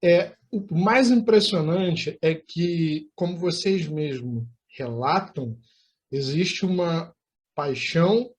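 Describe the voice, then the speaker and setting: A Brazilian man hosting an interview, speaking formally in measured, wordy, articulate phrases. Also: high voice, darkish tone. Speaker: interview host.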